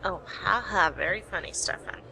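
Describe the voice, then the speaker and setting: Actress as young female private eye for a radio play: wry, determined, mid-pitched, noisy recording. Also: moderate pace, creaky, pushed and bright. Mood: wry, brisk. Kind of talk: amateur radio play acting.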